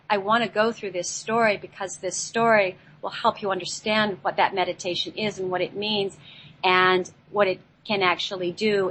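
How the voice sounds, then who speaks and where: Halting woman over background noise. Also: medium pitch, fairly bright tone, rough recording. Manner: halting, searching for words, repeats herself. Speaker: talk show guest and spiritual healer. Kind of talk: unscripted spiritual talk.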